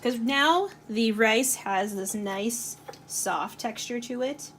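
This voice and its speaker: A woman's voice: on the high side, slow, a bit bright. A woman hosting a casual home cooking video, chatting with lots of fillers as she stirs a pot. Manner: chatty, many fillers, talks while stirring. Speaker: cooking channel host. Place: home kitchen. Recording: audible kitchen noise. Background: frying and stirring sounds.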